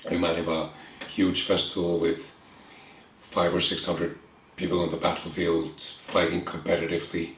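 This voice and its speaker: A man in his thirties with an Icelandic accent, speaking as an interview guest in an earnest, reflective way, in short phrases with pauses. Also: sits low, flat delivery. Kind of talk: candid conversation. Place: room with bookshelves and a window, at a table. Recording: echoey room with faint hiss.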